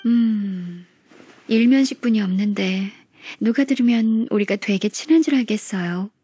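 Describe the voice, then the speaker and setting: A woman playing a gentle, earnest, modest young secretary in a video game, speaking softly and politely. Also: mid-range voice, slightly rough, natural voice. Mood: composed, businesslike. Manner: soft, polite, measured.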